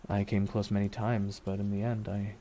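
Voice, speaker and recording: A dark, wistful villain voiced by a man speaks in a soft, mellow, lowish tone, as if close by in an intimate ASMR roleplay. He is deliberate and brooding, leaving long pauses, with quiet menace under the words. Rain patters behind him. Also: level tone, close to an everyday voice. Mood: reflective, somber. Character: villain reflecting beside his dying superhero nemesis, wistful, menacing. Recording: noticeable rain noise throughout. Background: rain falling on a surface.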